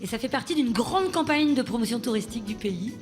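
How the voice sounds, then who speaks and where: A woman's voice: on the high side, lively intonation, brisk, darkish tone. A woman co-presenting a game show, giving informative explanations of the answers. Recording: TV studio with music behind. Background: show music.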